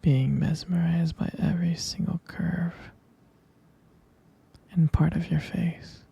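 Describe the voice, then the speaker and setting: A man murmurs an intimate ASMR roleplay in a very husky, breathy whisper. His delivery is slow and halting, with a gravelly crackle running through it. He sounds tender and adoring, like a romantic partner speaking privately. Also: a little high, fairly even intonation, dark tone.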